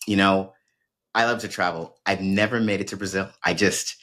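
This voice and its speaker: Male host, low voice, warm-hearted encourager, repeats himself, conversational. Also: fairly even intonation, normal speed. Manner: encouraging, repeats words and phrases, affirming. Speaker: podcast host. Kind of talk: interview conversation.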